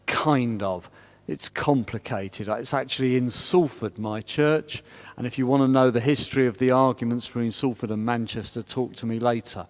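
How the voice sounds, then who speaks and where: A man with a big, booming voice chairs a panel in a large church, his words trailing off into a long ringing reverb. He keeps it chatty with easygoing, self-deprecating asides, at an average pitch for a man. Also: normal speed.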